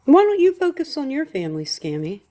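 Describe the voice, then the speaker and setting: A woman's voice: high-pitched, huge pitch swings, fairly quick, warm tone. A woman hosting a livestream, reacting to a clip with sassy, swearing remarks and frequent laughs. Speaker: livestream host.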